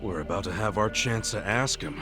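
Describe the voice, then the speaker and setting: A man playing a gutsy, righteous, hot-headed low-ranking yakuza in a game cutscene, blunt and streetwise in his talk. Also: fairly quick, music in the background, natural voice. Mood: wary, probing. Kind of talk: dramatic game cutscene voice acting.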